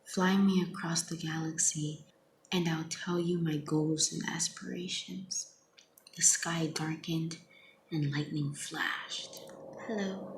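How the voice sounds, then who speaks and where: A young woman speaking very softly in a half-whispered ASMR style, gently reading poetry in an unhurried, hushed manner. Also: low-pitched, fairly even intonation. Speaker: ASMR host reading their own poems. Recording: echoey, with clear background noise.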